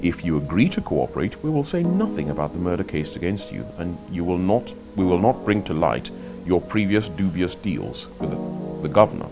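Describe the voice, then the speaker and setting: A man voicing an unseen abductor in a novel, formal, measured and coldly threatening. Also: low-pitched, big pitch swings, vocal fry, over music, full character voice.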